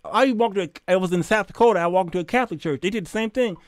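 A man's voice: high-pitched, very fast, dry recording, studio quality. An American man in his fifties hosting an informal, opinionated monologue, speaking emphatically in a preacherly way with rhetorical questions. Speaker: talk show host. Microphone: close condenser microphone on boom arm.

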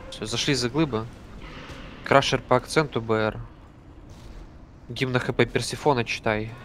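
A man leading a game raid over voice chat, giving brisk commands and swearing casually. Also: mid-range voice, steady tone, fairly bright tone, a little hiss or hum. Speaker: raid leader.

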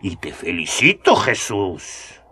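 A sly old chuckling magistrate. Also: male voice, sits low, huge pitch swings, slow, sharp tone, full character voice. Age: older. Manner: chuckling, smooth, persuasive. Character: sly gray-bearded male magistrate, scheming. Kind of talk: radio drama acting.

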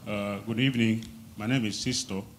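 A man's voice: fairly low pitch, steady tone, slight background noise. A man from South Sudan asking a formal question from the audience at a policy forum. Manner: formal, deliberate.

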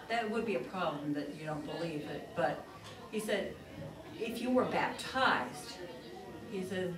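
An older woman, a nun, telling a long story to a class. She keeps stopping to say "he says" as she recounts the dialogue. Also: lowish voice. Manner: rambling, repeats "he says" while retelling dialogue. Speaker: religious teacher, nun. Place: plain meeting room with tables. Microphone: far camera across the room. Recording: echoey room, far-off mic, listeners audible.

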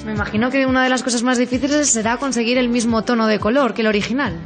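A woman's voice: on the high side, fairly even intonation, rapid-fire, faint music behind. A woman working as a TV reporter, putting questions to interviewees.

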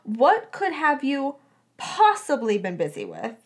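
A woman's voice: high voice, wildly swooping pitch, a little slow, heavily acted. A woman voicing a bossy, nosy teenage schoolgirl in a story, insistent and exasperated with her best friend. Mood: suspicious, insistent.

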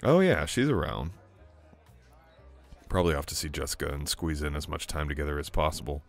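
Young male lead, very low gravelly tone, teasing and soft-spoken; scripted romance audio. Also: animated, brisk, faint music behind. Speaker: audio roleplay performer. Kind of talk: scripted boyfriend roleplay ASMR.

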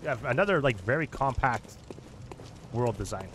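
A man's voice: mid-range voice, lively intonation, moderate pace, a bit bright, slight background noise. A man in his thirties giving casual commentary while streaming a game, chatting with viewers through a headset at home. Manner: chatty, reacting to the game and to chat.

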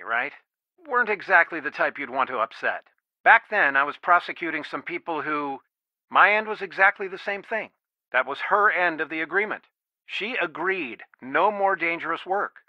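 A man's voice: on the high side, no room sound, highs cut off, natural voice. A man voicing a stern, worried middle-aged father and lawyer in a video game, earnest, firm and protective. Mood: stern, pleading. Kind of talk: video game voice acting, one side of phone conversations.

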